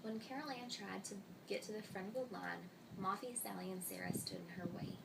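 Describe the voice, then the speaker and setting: A woman reading a children's story aloud in an expressive way. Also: medium pitch, brisk, vocal fry. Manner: expressive, voices the dialogue of characters. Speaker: storybook reader. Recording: distant mic, loud noise, clicks.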